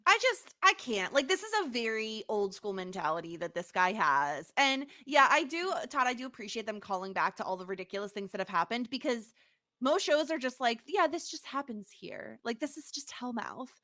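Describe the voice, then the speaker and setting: A woman co-hosting a casual podcast recap, retelling scenes with lively quoted asides. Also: a little high, normal speed. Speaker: podcast co-host. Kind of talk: casual chat, TV episode recap.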